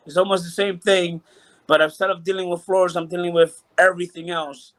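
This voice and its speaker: A man's voice: high voice, little pitch movement, brisk, a bit bright. A man from Puerto Rico, a hotel chief engineer, talking as a guest over a video call, often repeating himself and restarting sentences. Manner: repeats phrases, frequent restarts. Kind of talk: casual interview chat.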